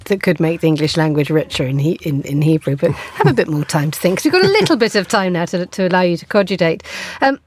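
A woman's voice: lowish voice, lively intonation, quick pace, hardly any pauses, darkish tone, slight background noise. A woman presenting a radio discussion, with wry, playful asides.

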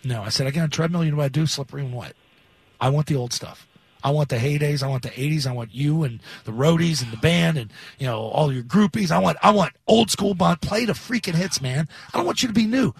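A man with a very husky, breathy voice that sits a little high for a man, hosting sports radio from a stadium studio. He spins stories fast and jumps into animated impressions. The sound is close and dry. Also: no echo. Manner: storytelling, animated impressions of others. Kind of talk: casual sports radio banter.

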